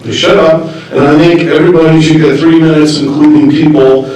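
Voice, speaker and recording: A man speaks up during public comment at a board meeting, pressing his point with repeated questions. His voice sits a little high and sounds distant from the mic. The hall around him rings with heavy echo. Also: steady tone, a little fast, background noise.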